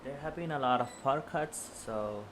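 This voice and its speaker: A man's voice: mid-range voice, normal speed. A man livestreaming a game, chatting casually, his voice sitting distant beneath the game's music. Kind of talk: casual stream chat.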